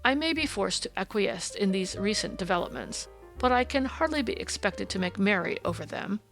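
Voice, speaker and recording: A woman's voice: medium pitch, animated, moderate pace, fairly bright tone, soft background music. Woman reading as a stiff, haughty English lord. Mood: cold, offended. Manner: haughty, formal. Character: stiff, aggrieved English nobleman, coldly polite.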